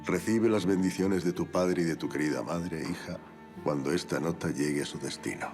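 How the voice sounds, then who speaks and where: An actor plays a worldly, affectionate aristocratic father. He delivers a letter formally and wryly for a period audio drama. Music plays clearly beneath him. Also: deep voice, natural voice. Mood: fond, wry. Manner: formal, measured, dryly wry.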